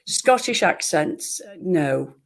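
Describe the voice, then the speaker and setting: Liverpool-accented woman telling lively stories. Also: sits low, extremely slow, gravelly. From Liverpool, England. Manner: lively anecdotal storytelling, self-deprecating. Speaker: actress, guest. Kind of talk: casual interview chat. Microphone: video call.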